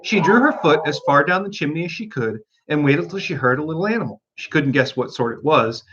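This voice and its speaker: A man reading a children's storybook aloud as an expressive audiobook narrator. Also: a little high, a little fast, lo-fi sound, background noise. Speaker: audiobook reader.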